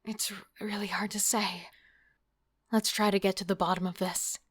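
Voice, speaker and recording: A woman's voice: mid-range voice, level tone, moderate pace, breathy edge, close to an everyday voice. A woman acting as a hard-edged female killer and thief, narrating and living through her ordeal in a horror audio drama with tense, dramatic delivery. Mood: hushed, uneasy.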